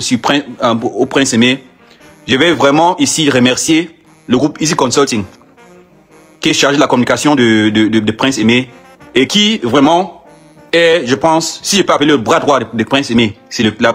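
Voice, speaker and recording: A man's voice: mid-range voice, a bit bright, slightly rough. A man managing an artist, speaking live to fans in an emphatic, rallying way with lots of repetition.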